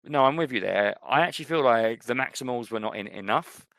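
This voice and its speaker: A man's voice: mid-range voice, expressive, a little fast, gravelly, a bit bright, dry recording. A man co-hosting a podcast, chatting casually and enthusiastically about films, repeating himself for emphasis. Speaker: podcast co-host. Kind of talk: casual film discussion.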